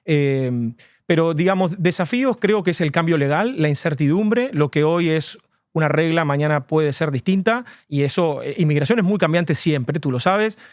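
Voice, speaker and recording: A man's voice: a little high, dry recording, clean studio sound. A man, an immigration lawyer, answering interview questions earnestly and at length, with frequent fillers.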